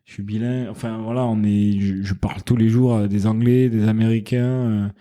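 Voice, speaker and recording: Mellow-voiced man explaining his venture. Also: lowish voice, steady tone, fairly quick. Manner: earnest, explanatory, thinks aloud mid-sentence. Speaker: founder of a wine company, podcast guest. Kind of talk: casual interview chat.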